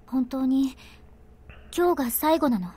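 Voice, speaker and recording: A woman's voice: sits high, a little fast, mellow, slightly rough. A woman voicing a capable, headstrong young woman in a visual novel, with a casual, questioning manner. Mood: subdued. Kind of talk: Japanese visual novel voice acting.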